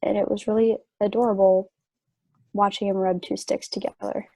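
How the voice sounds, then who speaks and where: A woman playing a sick, trusting wife in a stage play reading, wistful and gently earnest. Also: medium pitch, level tone, normal speed, no room sound, close to an everyday voice. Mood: wistful, fond.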